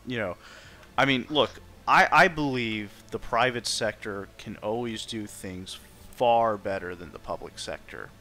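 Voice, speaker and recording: A man's voice: fairly low pitch, unhurried, pushed and bright. A man giving his opinions in a casual, conversational debate. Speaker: participant in a group discussion.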